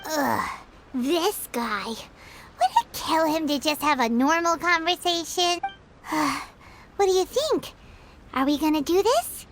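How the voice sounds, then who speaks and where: A woman voicing a tiny, excitable childlike companion with a squeaky voice in an anime game. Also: slow, a little hiss or hum, full character voice. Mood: exasperated. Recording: game music behind the voice.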